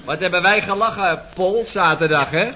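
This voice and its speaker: An actor playing a cheeky nephew who needles and talks back in a Dutch radio comedy serial from around 1970. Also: male voice, sits high, normal speed, bright, forceful tone, slight background noise. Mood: teasing. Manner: teasing, nonchalant backtalk. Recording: dull old radio recording.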